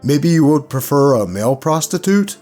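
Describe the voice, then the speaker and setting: A man's voice: a little high, big pitch swings, moderate pace, mellow, faint music behind. A man voicing a pushy, insistent male game master in a narrated story. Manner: insistent, pressuring.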